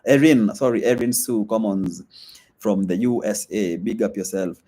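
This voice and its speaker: A young man talks casually into a live stream. His voice sits on the low side, and his speech is loose, with plenty of fillers. His is Swahili. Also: normal speed, mellow. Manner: loose and chatty, many fillers, reads comments aloud. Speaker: vlogger hosting a live stream. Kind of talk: casual live-stream chat reading comments.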